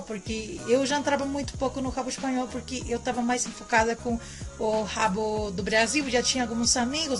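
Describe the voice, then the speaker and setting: A woman from Mexico, whose first language is Spanish, chats to camera in a Mexican Spanish accent, rambling, repeating herself and tagging lines with "né". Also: a little high. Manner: chatty, rambling, repeats phrases and tags with "né". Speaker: vlogger. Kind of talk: casual chat to camera.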